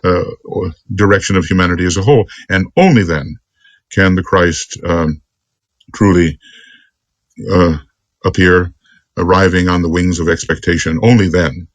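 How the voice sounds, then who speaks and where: A man giving an extemporaneous spiritual lecture as a teacher, speaking earnestly in deliberate, halting phrases. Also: low-pitched, dry recording. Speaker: esoteric teacher.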